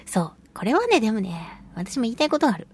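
A woman's voice: on the high side, very animated, fast, husky. A woman hosting a radio talk show, chatting casually about her own life in a self-deprecating, giggly, storytelling way. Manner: acts out little quoted remarks while storytelling. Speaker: voice actress. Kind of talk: casual solo radio chat, telling personal anecdotes.

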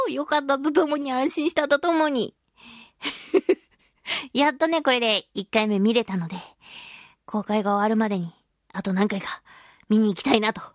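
Female radio host, higher voice, expressive and chatty, casual talk-show delivery, very dry studio-style sound. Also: no room sound. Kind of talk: casual chat, reading and answering listener mail.

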